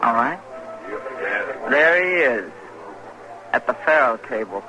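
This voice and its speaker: A woman's voice: rumbling low, lively intonation, slow, music in the background, full character voice. A woman playing a money-hungry saloon woman of the Old West, sly and bold, in old-time radio western style. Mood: coaxing, accusing. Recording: noisy old radio broadcast recording.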